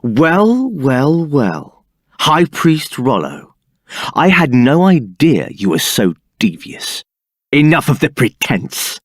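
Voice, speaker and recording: A man's voice: medium pitch, wildly swooping pitch, slow, no echo, clean studio sound, exaggerated voice. An actor playing a scheming, arrogant male templar captain in a video game, speaking in a haughty, condescending manner. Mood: triumphant.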